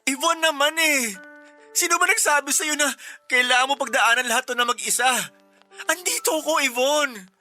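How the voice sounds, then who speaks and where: A man's voice: very high-pitched, normal speed, long pauses, pushed and bright, faint music behind, full character voice. A man playing a devoted young boyfriend in a Tagalog radio drama, speaking with emotional, imploring insistence. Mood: pleading, hurt. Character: devoted, pleading young boyfriend and office co-worker.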